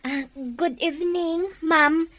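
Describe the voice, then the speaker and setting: A woman's voice: extremely high pitch, fairly even intonation, slow, fairly bright tone, a little hiss or hum, exaggerated voice. An actress playing a sweet, deferential young woman from a poor family in a radio drama, speaking politely to her elders. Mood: polite, flattering. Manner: polite, respectful, addressing elders as ma'am, sir, nana. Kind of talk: Filipino radio drama acting.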